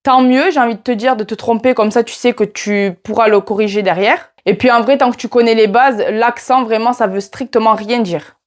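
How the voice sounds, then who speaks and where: Young woman, southern French accent, casual vlogger, slightly high voice, talks straight to the viewer. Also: fairly even intonation, a little fast, hardly any pauses. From France. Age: 20s. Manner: chatty, addresses viewer directly with "tu vois". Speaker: YouTuber teaching Portuguese. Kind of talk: casual vlog talking to camera.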